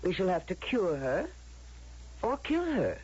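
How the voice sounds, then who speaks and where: An actress playing a coldly patronizing woman of authority in a radio drama, deliberate and probing, on a muffled old broadcast. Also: on the low side, sing-song. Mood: grave, menacing. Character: coldly patronizing female authority of a future society.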